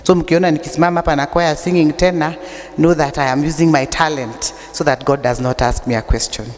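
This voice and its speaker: A Kenyan woman with an unusually deep voice, preaching a sermon that mixes English and Swahili, telling stories with humor. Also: steady tone, brisk, a little mellow. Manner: chatty storytelling with humor. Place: church. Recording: long church reverb.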